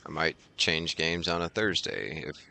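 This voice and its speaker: A man chatting casually on a gaming livestream in a laid-back, halting way. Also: rumbling low, gravelly, very noisy. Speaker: variety game streamer. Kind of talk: casual livestream chat over gameplay.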